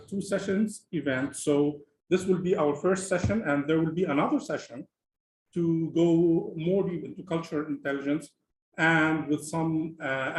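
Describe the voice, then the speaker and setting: A man's voice: a little high, reverberant, low-quality recording. A man from Lebanon moderating an online panel discussion, talking casually with many fillers and restarts over a video call.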